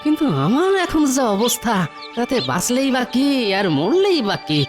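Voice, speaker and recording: A woman's voice: high voice, sing-song, moderate pace, music in the background. A woman voicing a poor, crafty male village blacksmith who talks in a folksy, exclamatory way in a cartoon dub. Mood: wary muttering. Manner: rustic, exclamatory, self-muttering.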